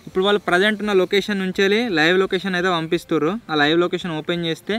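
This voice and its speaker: Male vlog host, Telangana Telugu accent, very quick informal delivery, high voice. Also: level tone, a bit bright, clear, resonant tone. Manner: informal, slangy, bantering. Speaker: video host. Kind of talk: casual vlog chat.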